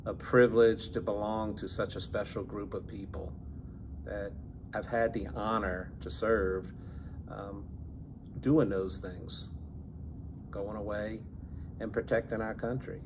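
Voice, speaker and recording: A man from New Orleans, an Army veteran speaking haltingly with many fillers. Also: fairly low pitch, steady tone. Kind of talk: recorded personal interview. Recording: noisy background hiss.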